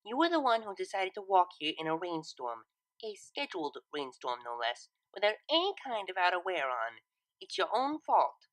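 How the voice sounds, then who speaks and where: A woman's voice: on the low side, sing-song, moderate pace, dry recording, poor audio. A woman voicing a practical, exasperated female pony with a dry, blunt manner in a story reading. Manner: dry, blunt, matter-of-fact.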